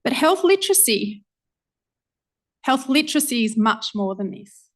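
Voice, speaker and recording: A woman researcher giving a public lecture, earnest and organized, often pausing with ums. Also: on the high side, sing-song.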